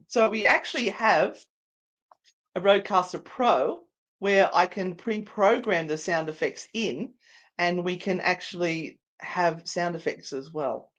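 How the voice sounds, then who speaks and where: A woman's voice: lowish voice, takes it slowly, rough recording. An Australian woman co-hosting a live show in casual, easily sidetracked, giggly banter. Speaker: co-host of a paranormal live show.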